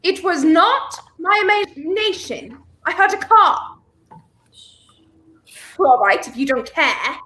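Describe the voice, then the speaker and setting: A young woman acts out a feisty, prim young heroine of a 1930s radio thriller. The voice is very high and swoops with emphasis, recorded in an echoing room. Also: animated, slow pace, pauses often, sharp tone, heavily acted. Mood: alarmed, insistent. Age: young adult. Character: feisty, indignant young woman, handcuffed unwilling companion. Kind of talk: 1930s radio play acting. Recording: roomy, echoing home recording.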